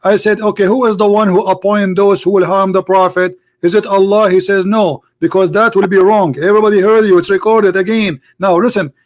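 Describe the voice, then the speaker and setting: A man's voice: high voice, steady tone, a little fast, few pauses. A man who is not a native English speaker, hosting a heated live debate, insistent and talking over the other side. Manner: insistent, repeats points, cuts in. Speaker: debate host.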